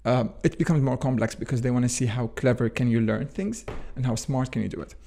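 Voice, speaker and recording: A man's voice: medium pitch, expressive, fairly quick, gravelly, warm tone, a little hiss or hum. Rambling man. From Jordan. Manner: rambling, leans on "actually" and "sort of". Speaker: interview guest, food scientist and company founder. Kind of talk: conversational interview answers.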